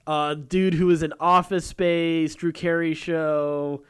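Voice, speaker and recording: A man co-hosting a podcast in casual chat, speaking in a halting, digressive way with chuckles. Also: fairly high pitch, little pitch movement, clear, resonant tone. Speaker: podcast co-host. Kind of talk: casual chat about TV and voice acting.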